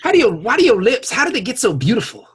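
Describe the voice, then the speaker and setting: A man's voice: very high voice, huge pitch swings, fast talker, husky. A man hosting a casual podcast, bantering in an effusive, teasing way with plenty of swearing. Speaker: podcast host.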